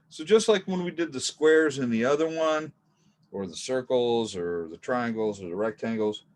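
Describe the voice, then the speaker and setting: A male art teacher walks his class through a drawing step by step, loose and chatty, with playful asides. His voice sits at a middling pitch. A faint hum of room noise runs under the recording. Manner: relaxed, chatty, jokey asides. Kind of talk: casual drawing lesson.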